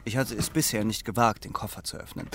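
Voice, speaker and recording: A man's voice: lowish voice, fast, creaky, background noise. A man playing a wry, laconic double agent who tells his story confidingly in a German radio crime drama.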